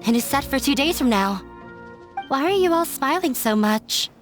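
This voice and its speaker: A woman's voice: high-pitched, animated, moderate pace, soft background music. A woman playing a polite, hopeful young woman in a video game dub. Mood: relieved.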